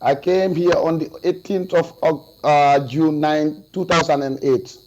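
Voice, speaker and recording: A man in his forties with a Gambian accent gives testimony over a video call, answering in a formal, deliberate way. His voice sits a little high for a man. The sound is dull and muffled, with a low mains hum behind it. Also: steady tone, a little mellow. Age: 40s. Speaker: witness.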